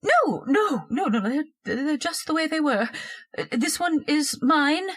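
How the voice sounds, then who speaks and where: An actress playing a frightened, easily swayed wife turned desperate murderess in a radio horror drama, hesitant and pleading. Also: female voice, high-pitched, expressive, unhurried, a bit bright. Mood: nervous. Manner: hesitant, repeating words, pleading. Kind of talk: old-time radio horror acting.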